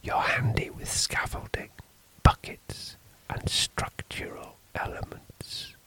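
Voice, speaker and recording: A man voicing a nervous village priest in a hushed, breathy whisper. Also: slow pace, no room sound, low-quality recording. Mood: anxious hushed.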